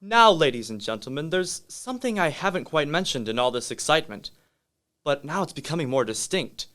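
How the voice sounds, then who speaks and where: An excitable radio newsman played by a male actor with a slightly high voice. He reports as an awestruck eyewitness, dramatic and halting, in the manner of a radio play. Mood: intrigued, urgent. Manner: halting, dramatic announcer delivery.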